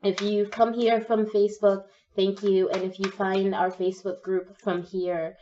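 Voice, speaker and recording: A young woman with a cold gives a gentle, encouraging tarot reading, her voice slightly croaky. Her tone is soft and mellow. The audio sounds dull and a little distant, as if she sits back from the mic. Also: mid-range voice, little pitch movement, unhurried, a little hiss or hum. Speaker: tarot reader. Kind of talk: pick-a-card tarot reading.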